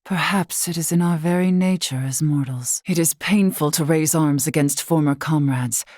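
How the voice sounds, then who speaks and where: A woman voicing a solemn, dutiful warrior stateswoman in a video game, speaking in formal, measured, old-fashioned phrasing. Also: low-pitched, a little mellow, no echo, studio quality. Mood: grave, resolute. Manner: formal, measured, archaic diction. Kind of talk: scripted video game character dialogue.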